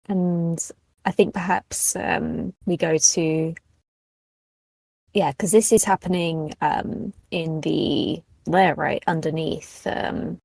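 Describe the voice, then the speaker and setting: Woman playing a tabletop game, husky gravelly voice, kinda slow, asks questions to set the scene. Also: on the low side, a little mellow, dry recording, poor audio. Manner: tentative, questioning. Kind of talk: casual tabletop scene-setting.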